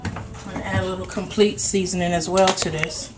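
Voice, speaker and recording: A woman's voice: lowish voice, steady tone, fairly quick, noisy background. A middle-aged woman from New Jersey with a Jersey accent, chatting casually with viewers while cooking in her home kitchen, phone held over the stove. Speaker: home cook livestreaming. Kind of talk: casual cooking livestream chat.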